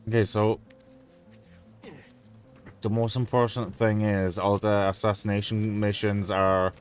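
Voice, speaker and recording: A man's voice: lowish voice, flat delivery, unhurried, faint music behind. A man whose first language is Polish, giving rambling, hesitant gameplay commentary with frequent fillers and casual swearing. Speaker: gameplay video creator.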